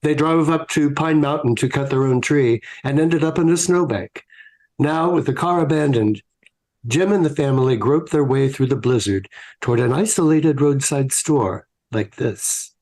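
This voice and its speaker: Male old-time radio announcer, medium pitch. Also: normal speed, a little mellow, rough recording. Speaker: radio show announcer and narrator.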